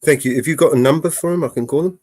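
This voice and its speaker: A man's voice: on the high side, very fast, a little mellow, no room sound. A man with a British accent, hosting a live stream and calling a police department, hesitant and full of fillers as he explains. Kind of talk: live-streamed phone call.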